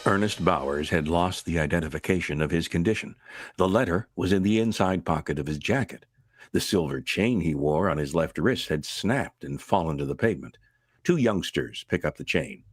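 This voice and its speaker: A man playing a grave, matter-of-fact storyteller, narrating a vintage radio suspense tale in a formal, measured way. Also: sits low, animated, husky. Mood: grave, factual. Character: grave, matter-of-fact male storyteller reading an accident report.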